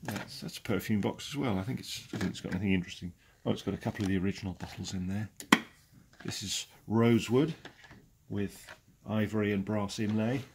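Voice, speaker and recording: An English man in his forties with a gravelly edge, chatting cheerfully as he leads a tour through a packed saleroom. The sound comes from a camera mic that follows him around, with clear background noise in the room. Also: lowish voice, steady tone, a little fast. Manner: chatty and enthusiastic, chuckling often. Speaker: auctioneer giving a saleroom tour. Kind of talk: casual walk-through commentary. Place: large cluttered indoor auction saleroom. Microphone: handheld camera following him.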